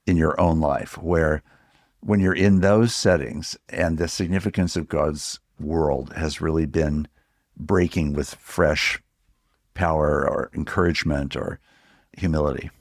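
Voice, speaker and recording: An American man hosting a reflective interview, speaking in deliberate, measured phrases with pauses. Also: very deep voice. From Pacific Northwest, United States. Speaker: podcast host and interviewer.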